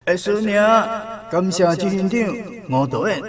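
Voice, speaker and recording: High-voiced effusive Taiwanese township chief. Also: male voice, animated, measured pace. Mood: effusive, grateful. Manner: ceremonious, repeating a stock filler phrase. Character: effusive rural township chief making a speech. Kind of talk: radio drama acting, formal speech. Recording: roomy, distant from the microphone.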